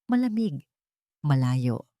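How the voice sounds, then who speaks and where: A woman's voice: a bass voice, sing-song, takes it slowly, dark tone, no room sound, studio quality. A woman telling a story as dramatic scripted narration.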